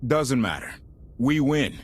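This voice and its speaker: A man's voice: mid-range voice, animated, slow pace, a little hiss or hum. An actor playing a fervent, loyal male warrior in a video game, with blunt delivery. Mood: hopeful, firm.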